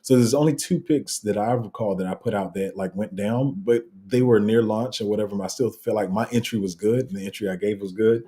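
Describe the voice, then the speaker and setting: A man with a deep, rumbling voice hosting a casual livestream, reading out viewer comments and rambling along with sudden excited jumps in pitch and a slight cough. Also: fairly quick, few pauses. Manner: rambling and animated, voice jumping up when excited. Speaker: crypto livestream host.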